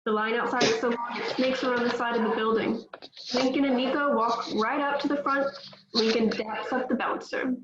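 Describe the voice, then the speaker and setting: A woman reading the stage directions aloud at a table read, in a brisk, matter-of-fact way. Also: fairly high pitch, fairly even intonation, roomy sound, highs cut off, noisy background.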